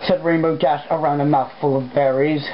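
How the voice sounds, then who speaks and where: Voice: mid-range voice, steady tone, normal speed. An amateur reading fan fiction aloud in a casual way, breaking off to make irreverent, sweary remarks about slip-ups. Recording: dull lo-fi home recording, background noise.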